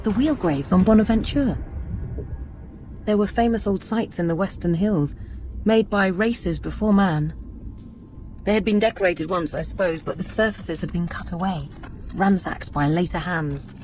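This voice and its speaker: A woman's voice: on the low side, normal speed, darkish tone, slight background noise, natural voice. A woman playing a thoughtful, learned companion in a video game, speaking reflectively. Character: thoughtful, learned female companion recalling ancient ruins. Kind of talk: scripted game dialogue.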